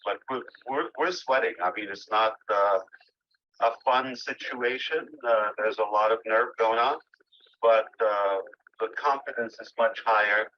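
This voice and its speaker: A man giving a company update over a phone call, pausing often with filler sounds as he searches for words. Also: lowish voice. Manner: halting, many filler pauses. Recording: dull lo-fi phone line.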